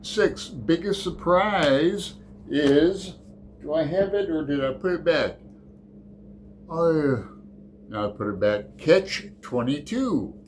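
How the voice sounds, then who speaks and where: A man's voice: on the high side, lively intonation, takes it slowly, slight background noise. A middle-aged man hosting a casual book vlog, talking in a rambling, digressive way with fillers and self-corrections. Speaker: book channel host.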